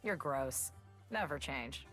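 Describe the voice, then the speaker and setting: An actress playing a sardonic rebel bird-woman warrior in a video game, speaking in a dry, sarcastic deadpan. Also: extremely low pitch, takes it slowly, full character voice. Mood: dry scorn. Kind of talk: video game champion voice lines. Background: music.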